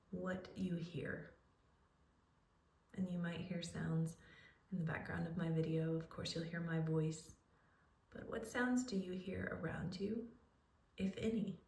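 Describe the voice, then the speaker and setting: A woman leading a guided relaxation, speaking in a soothing, unhurried way with pauses as she gives gentle instructions. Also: fairly low pitch, a little hiss or hum. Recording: roomy echo, distant microphone.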